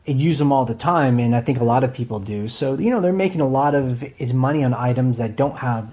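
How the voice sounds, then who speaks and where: Mellow American man, podcast co-host. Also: mid-range voice, brisk. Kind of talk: casual chat.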